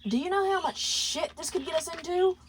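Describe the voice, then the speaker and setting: A woman's voice: very high-pitched, brisk, background noise, voice pushed up. A woman voicing a foul-mouthed, scolding male demon boss in a fan fiction reading, demanding and theatrical, often singing. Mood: angry demanding. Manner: demanding, theatrical, breaks into song.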